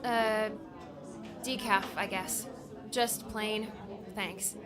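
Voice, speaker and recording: A woman's voice: medium pitch, steady tone, very slow, music in the background. A woman playing an earnest, slightly awkward office worker with a polite, hesitant manner in an audiobook. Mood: awkward, apologetic. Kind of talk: audiobook character dialogue.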